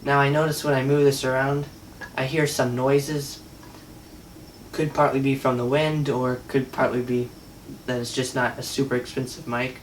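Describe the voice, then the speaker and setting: A man giving a casual, unscripted product review, talking in a halting, think-aloud way. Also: mid-range voice, flat delivery, slight background noise. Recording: distant mic, music in the recording.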